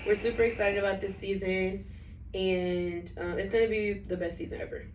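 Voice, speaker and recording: A hoarse woman co-hosting a film podcast, chatting casually in slang and often restarting her sentences. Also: medium pitch, little pitch movement, moderate pace, a little mellow. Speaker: podcast co-host. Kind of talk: casual chat. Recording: roomy, a bit distant, faint hiss.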